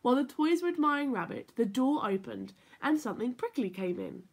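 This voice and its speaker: A woman reading a children's story aloud in an expressive, playful, emphatic way. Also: high-pitched, a little fast, husky. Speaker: story time reader for children.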